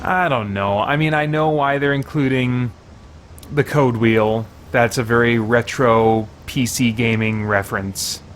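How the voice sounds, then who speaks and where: A man's voice: mid-range voice, steady tone, measured pace, a little hiss or hum. A man giving casual first-impressions commentary on a video game, conversational and thinking aloud as he goes.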